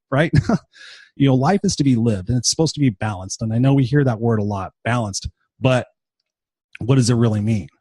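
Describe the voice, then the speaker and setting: Husky, blunt fifty-something man. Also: mid-range voice, darkish tone, dry recording. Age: 50s. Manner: blunt and profane, emphatic repetition. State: tired and sick. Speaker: motivational podcast host. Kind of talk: unscripted motivational monologue.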